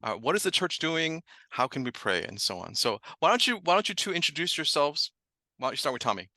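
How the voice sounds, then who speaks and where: Bright, forceful American man hosting. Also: on the high side, sing-song, a little fast, no room sound. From United States. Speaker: interview host. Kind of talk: conversational interview.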